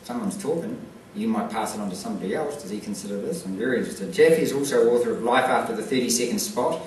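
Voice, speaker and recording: A man introducing a guest speaker at an event, with a halting delivery full of fillers. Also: mid-range voice, a little mellow. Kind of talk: formal introduction. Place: conference hall. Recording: echoey hall, distant mic, faint noise.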